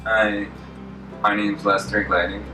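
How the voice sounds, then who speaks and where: A man's voice: fairly low pitch, little pitch movement, takes it slowly. A twenty-year-old man giving short, hesitant interview answers. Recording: roomy, distant mic. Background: soft background music.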